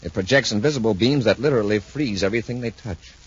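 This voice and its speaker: An actor playing a calm, authoritative space patrol commander with a husky voice in a 1950s radio serial. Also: male voice, fairly low pitch, fast talker. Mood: explaining. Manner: decisive, patiently explanatory. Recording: muffled 1950s radio recording.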